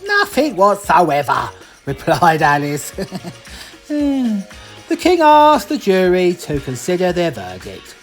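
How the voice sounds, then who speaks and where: Slow, wildly animated woman storyteller. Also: lowish voice, soft background music. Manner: playful asides, theatrical. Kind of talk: animated bedtime story narration.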